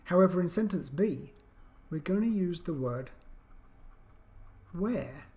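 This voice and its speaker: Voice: mid-range voice, animated, takes it slowly, mellow. An English teacher giving an explanatory video lesson with an emphatic, questioning, teacherly delivery.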